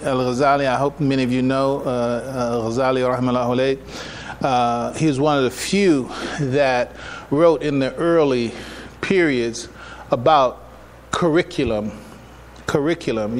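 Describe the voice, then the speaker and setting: A man giving a public religious lecture as an imam, speaking in a halting, deliberate way and often repeating his phrases. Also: mid-range voice, slight background noise. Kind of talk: public religious lecture, partly reading from notes. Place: live public address in a room.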